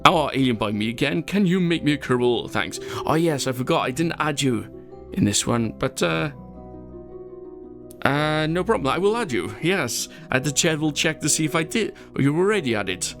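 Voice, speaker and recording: A man's voice: on the high side, lively intonation, moderate pace, fairly bright tone, faint music behind. A Welsh man hosting a game video, chatting casually and poking fun at himself as he plays. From Wales. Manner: chatty and self-mocking, rambling asides, frequent laughs. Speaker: gaming channel host. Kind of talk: casual gameplay commentary.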